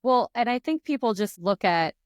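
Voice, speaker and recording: A woman's voice: a little high, expressive, moderate pace, smooth, no room sound, clean studio sound. A woman hosting a casual podcast interview, speaking conversationally with frequent fillers like "like". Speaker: podcast host.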